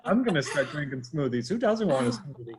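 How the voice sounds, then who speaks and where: A man's voice: a little high, big pitch swings, a little fast, a loud crowd. A man from Boston hosting a live online interview, chatty and upbeat, talking straight to his viewers. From Boston, USA. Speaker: host. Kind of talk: livestream interview.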